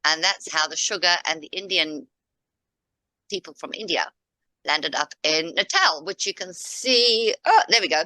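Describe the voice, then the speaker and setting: A woman's voice: lowish voice, expressive, unhurried, bright, forceful tone, no room sound. A woman from Africa, chatting casually as co-host and rambling through history while pointing at a map. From South Africa. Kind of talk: casual discussion.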